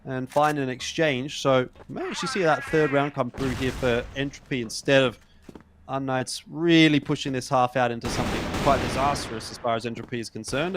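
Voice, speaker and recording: A man's voice: mid-range voice, noisy background. Thirty-year-old male esports analyst. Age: 30s. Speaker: esports co-caster. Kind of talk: esports color commentary.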